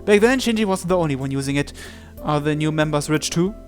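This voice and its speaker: A man's voice: fairly high pitch, expressive, quick pace. A man reading a visual novel aloud as first-person narration for a playthrough. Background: game music.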